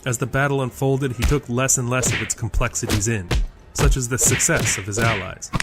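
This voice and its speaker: A man reading scripted narration for a fantasy audio drama. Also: medium pitch.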